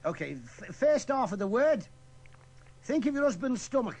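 High, animated man hosting a phone-in quiz. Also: moderate pace, background noise. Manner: teasing, breaking into laughter, exasperated. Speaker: radio quiz host. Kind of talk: casual phone-in banter.

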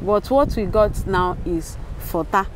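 A middle-aged woman with a Nigerian accent gives an explanatory academic talk at an unhurried, slow pace. She speaks haltingly, rephrasing as she goes, at an average pitch. She is recorded outdoors on a clip-on mic, with faint ambient noise behind her. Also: expressive, rough recording. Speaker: academic linguist. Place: outdoors in a yard with sculptures. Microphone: clip-on lapel microphone.

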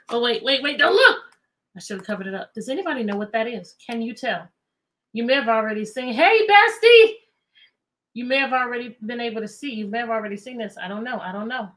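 A middle-aged American woman reading aloud to children as a teacher, animated and chatty, often asking questions. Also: fairly high pitch. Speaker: teacher and read-aloud host. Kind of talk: interactive children's read-aloud lesson. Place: indoor room with bookshelves.

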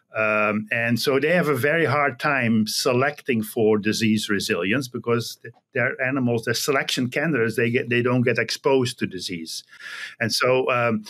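A middle-aged man from the Netherlands with a Dutch accent explains his research in a halting way, often repeating words, on a video call from an office. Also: mid-range voice, fairly bright tone. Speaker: guest, animal genetics researcher. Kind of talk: explanatory interview talk. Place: small indoor office. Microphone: computer video call.

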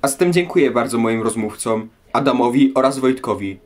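A man's voice: mid-range voice, lively intonation, brisk. A man hosting a history audio programme, presenting from a script and putting questions to guests.

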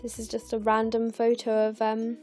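A young English woman in her twenties, narrating her scrapbooking in a chatty, rambling way with lots of fillers, her voice croaky and tired late at night. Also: on the high side, monotone, moderate pace, darkish tone, soft background music. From England. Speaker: scrapbooking vlogger. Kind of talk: casual voice-over narration of a craft process. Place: home, recorded late at night.

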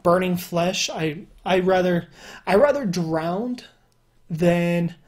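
A young man with a fairly high voice, often mistaken for a woman, chatting casually and rambling to camera at a desk at home. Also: slow. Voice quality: higher-pitched male voice, sometimes mistaken for a woman. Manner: chatty, rambling, many fillers and restarts. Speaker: YouTuber. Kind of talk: casual solo commentary. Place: indoor room at home.

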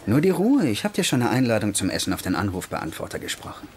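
An actor playing an upbeat, easygoing hospital doctor in a dubbed TV comedy, breezy and reassuring. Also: male voice, on the low side, huge pitch swings, fast talker, a little mellow, slight background noise. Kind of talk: German TV comedy dub acting.

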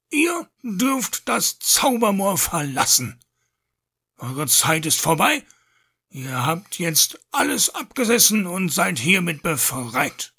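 A stern warden making formal proclamations in a radio play. The voice is creaky and sharp, with unhurried, swelling solemnity. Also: male voice, fairly high pitch, exaggerated voice. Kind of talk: German audio drama acting.

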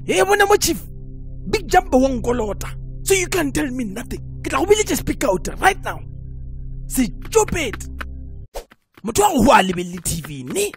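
Man voicing a high-pitched, brash South African cartoon schemer. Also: moderate pace, pauses often, soft background music, exaggerated voice. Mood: indignant, boastful. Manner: loud, excitable, mixes English into vernacular. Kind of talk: comedic cartoon dialogue.

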